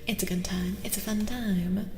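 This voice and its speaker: Soft-spoken woman with reverb, often near whispering. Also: medium pitch, fairly even intonation, moderate pace. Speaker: streamer, tarot reader. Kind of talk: soft-spoken ASMR livestream chat. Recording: reverberant echo with music behind. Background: music.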